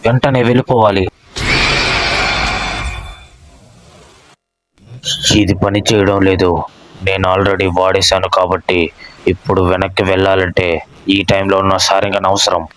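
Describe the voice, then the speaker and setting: A man voicing a calm, world-weary grown-up ninja in an anime fan dub, speaking in a grave, restrained way. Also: low-pitched, brisk, no echo, close to an everyday voice, poor audio. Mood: wary, musing. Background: background music.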